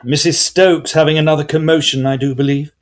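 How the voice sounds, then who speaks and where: Man acting an excitable high-pitched gentleman ghost hunter. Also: fairly quick, lightly acted. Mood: wry, curious. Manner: formal, old-fashioned, theatrical phrasing. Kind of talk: period Gothic audio drama storytelling.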